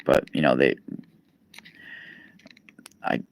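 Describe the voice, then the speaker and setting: A man, chatting casually on a live stream, rambling with many fillers and restarts. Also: drawn-out words. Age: 40s. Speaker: podcast host and radio guest. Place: East Coast, New York.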